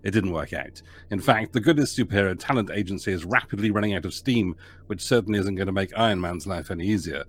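Low voiced guy guesting on a podcast, jokey and rambly, laughs a lot, faint hum. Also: fast. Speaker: guest producer and writer. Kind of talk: casual interview chat.

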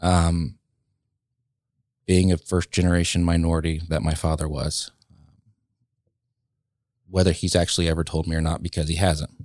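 A man in his late thirties, a guest in a casual interview, speaking reflectively and haltingly with many pauses and restarts. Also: low voice, level tone, darkish tone, dry recording, clean studio sound. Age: late 30s.

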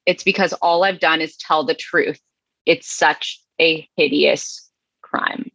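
A woman co-hosting a chat show, giving a deadpan dramatic reading of online comments with slow, heavy emphasis. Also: low voice, vocal fry, no room sound. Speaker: podcast co-host. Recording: dull, dry lo-fi mic.

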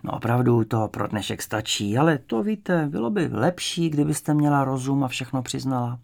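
A man's voice: mid-range voice, animated, darkish tone, close to an everyday voice. A man voicing an old good-cop interrogator in a story, obliging and falsely kind, coaxing and persuading.

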